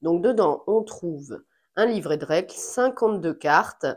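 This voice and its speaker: A woman's voice: fairly low pitch, animated, measured pace, a little mellow. A woman with a head cold chatting casually as she unboxes a board game, thinking aloud with plenty of fillers. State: has a cold.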